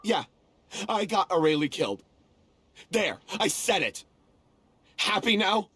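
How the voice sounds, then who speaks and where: A guilt-ridden member of a theater troupe for a video game. His husky voice halts and rises with remorse, moving widely in pitch. The sound is close and dry. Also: sing-song, pushed and bright, no room sound, exaggerated voice. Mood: bitter. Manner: halting, remorseful, dramatic confessing.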